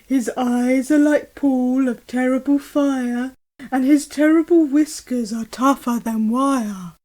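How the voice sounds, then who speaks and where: A woman doing a slow booming monster dad. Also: fairly even intonation, dark tone. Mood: ominous. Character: big gruff male monster father, boastful.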